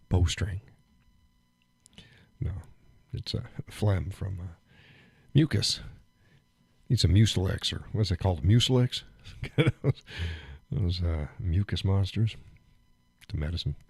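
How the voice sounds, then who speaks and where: A man hosting a live stream, chatting casually and rambling with fillers and chuckles, phlegmy and clearing his throat. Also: sits low, expressive, slow pace, pauses often, darkish tone, dry recording. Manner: rambling, chuckling, thinks aloud with many fillers. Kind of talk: casual chat reading viewer comments.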